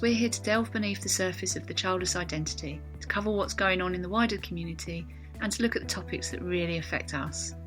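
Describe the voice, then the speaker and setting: A woman co-hosting a podcast, reading a scripted introduction in an even, measured way. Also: on the low side. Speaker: podcast co-host. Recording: faint music bed behind the voice.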